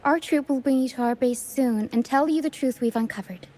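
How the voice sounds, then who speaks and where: A woman voicing a playful, earnest young female troupe member in a video game, speaking softly with theatrical touches. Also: high-pitched, a little fast. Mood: playful, showy. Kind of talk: video game dialogue acting. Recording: game music behind the voice.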